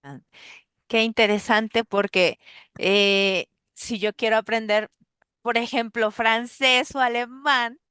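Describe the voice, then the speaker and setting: A woman's voice: fairly high pitch, steady tone, slow pace, bright, forceful tone, clear, resonant tone, no room sound, clean studio sound. A woman hosting an interview, responding with curiosity to her guest. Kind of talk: interview conversation.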